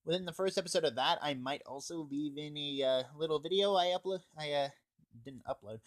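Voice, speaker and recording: A man's voice: on the high side, a little fast. A young man giving a casual, scripted anime review, talking informally and swearing now and then. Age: teens or young adult. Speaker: video reviewer.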